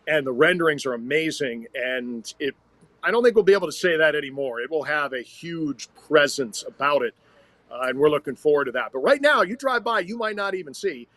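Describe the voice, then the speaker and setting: A man's voice: a little high, expressive, a little fast, fairly bright tone, no room sound. An American man, a radio sports announcer, giving conversational answers as a podcast guest.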